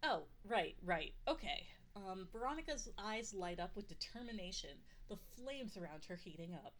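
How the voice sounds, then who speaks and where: Actress as a gushing yandere girlfriend, very animated. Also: medium pitch, moderate pace, close to an everyday voice. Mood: playful, eager. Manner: gushing, insistent, theatrical. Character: possessive, doting, silly girlfriend running a fantasy tabletop game. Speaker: game master. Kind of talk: audio roleplay, ASMR, playful narration.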